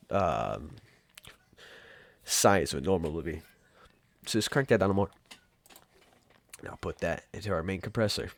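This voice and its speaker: Low-voiced guy rambling thru a software tutorial, super informal, really dry close sound. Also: dry recording. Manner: offhand, rambling, informal with mild swearing. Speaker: tutorial presenter. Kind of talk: casual software tutorial, off-the-cuff.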